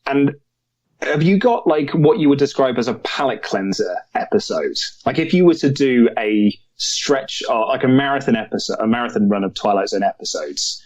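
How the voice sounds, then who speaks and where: A man's voice: mid-range voice, animated, normal speed, a little mellow, no room sound, highs cut off. A man talking casually and chattily as a podcast co-host, sharing opinions. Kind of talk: casual chat.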